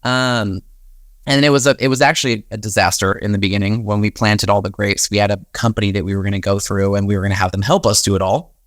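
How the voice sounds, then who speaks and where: A man's voice: on the low side, steady tone, fast, fairly bright tone, dry recording, studio quality. A young American man telling stories in a chatty, conversational way as an interview guest, often acting out his own thoughts as quotes. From United States. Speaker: podcast guest, winery and wedding venue manager.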